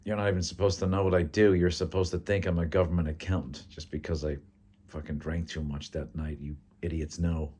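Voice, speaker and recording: A man's voice: low-pitched, little pitch movement, fairly quick, mellow, husky. A man with an American accent voicing a guarded, weary intelligence man talking to friends in a story. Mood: weary, irritated.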